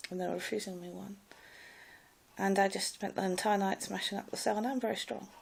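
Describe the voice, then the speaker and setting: Gravelly posh English woman, loud. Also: mid-range voice, steady tone, fairly quick. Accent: posh English public school with a slight American Ivy League clip. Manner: hesitant, many fillers and restarts. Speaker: vlogger telling her life story. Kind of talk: rambling personal monologue.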